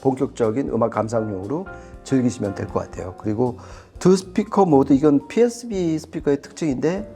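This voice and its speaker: Mellow-voiced man explaining audio gear. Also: medium pitch, animated, a little fast, gravelly, soft background music. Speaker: audio product reviewer on a shop's show. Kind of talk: conversational product commentary.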